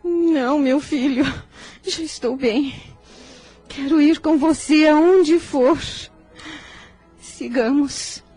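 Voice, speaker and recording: A tender mother in a radio play, acted by a woman. Her voice is high and mellow, full of compassion, with faint music beneath it. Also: slow pace, pauses often. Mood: resolute, loving. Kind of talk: radio drama acting.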